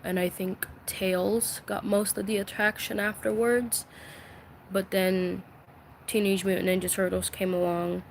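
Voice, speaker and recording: Slow, soft-spoken woman venting on a live stream. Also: mid-range voice, gravelly, slight background noise. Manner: slow, halting, many fillers and restarts; soft, confiding, close to tears. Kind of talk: emotional live-stream vent. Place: her bedroom at home.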